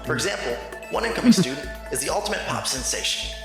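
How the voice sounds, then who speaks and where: Echoey, creaky teenage boy narrator played by a man. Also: on the high side, animated, quick pace. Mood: informative. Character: ordinary teenage high school boy, earnest narrator, awed and unsure. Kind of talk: scripted narration in a visual novel fan dub. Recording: very echoey, long reverb, music behind.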